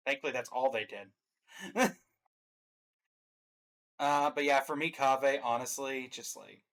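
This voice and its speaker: A man's voice: mid-range voice, steady tone, slow pace, a bit bright. A man co-hosting a reaction video, giving casual, opinionated commentary on game trailers in a wry way.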